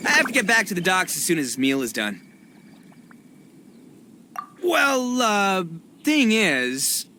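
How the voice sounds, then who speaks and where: A man playing a loud, big-hearted young gang boss in a video game, boisterous and slangy. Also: high voice, huge pitch swings, takes it slowly, slight background noise, heavily acted. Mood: flustered. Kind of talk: animated video game acting.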